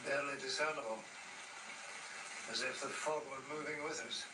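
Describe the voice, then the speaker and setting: An actor playing a weary, devout, commanding sea captain in a radio drama. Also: male voice, medium pitch, steady tone, normal speed, close to an everyday voice. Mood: solemn. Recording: echoey, distant, very noisy recording.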